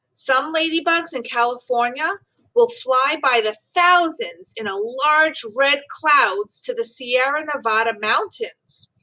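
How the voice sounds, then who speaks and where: A woman with a high, bright voice reads aloud as a teacher would for young children. She takes her time, slow and deliberate, giving each phrase expressive, clearly marked emphasis. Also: rough recording. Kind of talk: expressive children's read-aloud of rhyming poem and facts.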